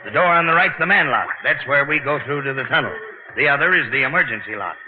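A man's voice: mid-range voice, animated, fast talker, lightly acted. An actor playing a middle-aged, seasoned American tunnel worker and father, plainspoken and patient, in 1940s radio drama style. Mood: explaining. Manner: plainspoken, colloquial explaining. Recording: tinny, band-limited 1940s radio recording.

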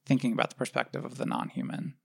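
A man filmmaker with a very creaky, fry-heavy voice at an average pitch, recorded very dry. He answers interview questions casually and reflectively, hesitating and circling toward his point. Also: sing-song, quick pace, a little mellow, no room sound. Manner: hesitant, circling with repeated hedging words. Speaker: filmmaker guest.

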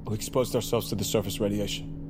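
A man's voice: fairly low pitch, fairly even intonation, quick pace, background noise, lightly acted. A man playing a defensive American turncoat federal agent in an audio drama.

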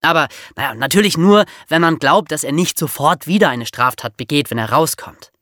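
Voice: medium pitch, lively intonation, a little fast, fairly bright tone, no echo, studio quality. A podcast co-host, explaining things in an informal, conversational way.

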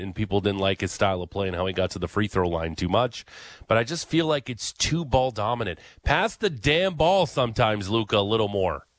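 A man hosts sports talk radio in a low voice that sits close and dry on the mic. He gives blunt opinions and hammers his points home by repeating them. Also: a bit bright, no echo.

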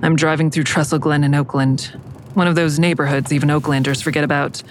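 An actress playing a guarded, wry woman investigator who narrates an audio drama in a measured, dry, understated way. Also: low-pitched, steady tone, a little hiss or hum, lightly acted. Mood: dry, observant. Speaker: lead actor and narrator.

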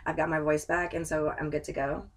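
A young woman co-hosting a casual podcast from a home podcast room, relaxed and swearing, laughing often. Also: low-pitched, brisk. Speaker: podcast co-host. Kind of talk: casual chat.

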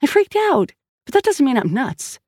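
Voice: high-pitched, big pitch swings, normal speed, darkish tone, no room sound, studio quality, pitched above the performer's own voice. An audiobook narrator playing a tense, stubborn woman police officer who speaks insistently and with exasperation. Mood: agitated, defiant. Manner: insistent, emphatic.

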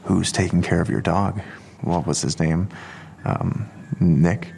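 An actor playing a courteous, considerate police detective with a gravelly, measured delivery in an audio drama. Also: male voice, sits low, fairly even intonation, darkish tone, a little hiss or hum, exaggerated voice. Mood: concerned.

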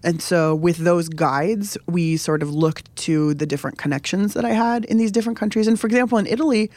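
A woman's voice: on the low side, brisk, a little mellow, no room sound. A young adult woman from San Diego, a cook and show host, talking casually in an interview, chatty and often laughing.

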